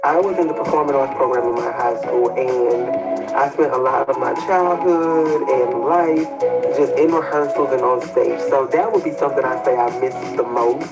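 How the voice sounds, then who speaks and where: A man's voice: mid-range voice, moderate pace, poor audio. A young man, a college advocate from Baton Rouge, chatting in an upbeat, friendly way. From Baton Rouge, Louisiana, USA. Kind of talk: casual Q&A chat. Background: background music.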